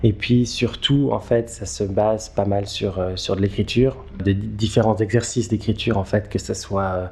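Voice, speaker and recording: A man, giving halting, conversational interview answers and repeating words as he thinks. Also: lowish voice, steady tone, vocal fry, darkish tone, slight background noise. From Geneva, Switzerland. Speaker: interview guest, filmmaker.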